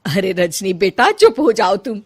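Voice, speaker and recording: A woman playing an older mother-in-law in a story, bossy yet motherly, with a loud, exclaiming, familiar manner. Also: mid-range voice, big pitch swings, a little slow, slightly rough. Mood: warm, admiring.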